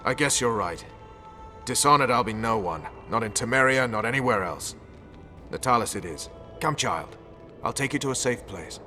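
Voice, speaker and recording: A man's voice: mid-range voice, expressive, normal speed, sharp tone, faint music behind. A male actor playing a hard, vengeful special forces commander in a video game, speaking in a stern, blunt, resolute manner. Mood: firm. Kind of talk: dramatic video game acting.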